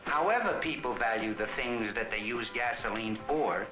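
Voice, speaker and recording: An actor playing a gas station owner who narrates an educational film in a chatty, explanatory way. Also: male voice, mid-range voice, moderate pace, lightly acted, poor audio. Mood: explanatory, animated. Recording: 1970s educational film soundtrack with music.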